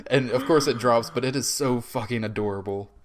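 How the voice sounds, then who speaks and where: Male film podcast co-host, mid-pitched voice, informal and sweary, casual discussion. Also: fairly quick, slight background noise.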